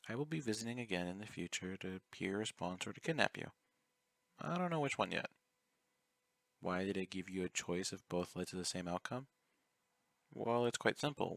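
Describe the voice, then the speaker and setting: A man playing an obsessive, clingy male yandere fan in an ASMR roleplay, speaking intimately and coaxingly, courteous even while threatening. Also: low voice, creaky, no echo. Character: obsessive, clingy, soft-spoken young male yandere fan, politely menacing.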